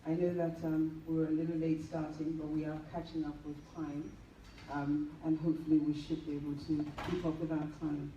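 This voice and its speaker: A woman acting as master of ceremonies, loudly announcing speakers at an event. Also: deep voice, little pitch movement, a little fast, muffled recording. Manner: loud and upbeat, hurrying the program along. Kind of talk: hosting an event. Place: large event hall. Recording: echoey hall, distant, noisy.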